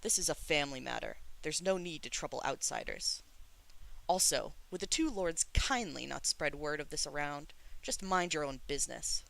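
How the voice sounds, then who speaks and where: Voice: mid-range voice, normal speed, vocal fry, sharp tone, low-quality recording. A reader voicing a stern, domineering elder-brother god, curt and furious, in an audiobook. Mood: curt, commanding. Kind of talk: dramatic audiobook character reading.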